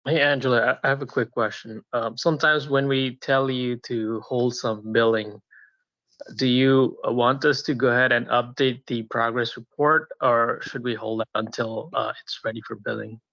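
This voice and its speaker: A man's voice: medium pitch, fairly even intonation, moderate pace, fairly bright tone, dry recording, dull audio. A man speaking informally as an attendee in a staff training meeting. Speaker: staff attendee asking a question.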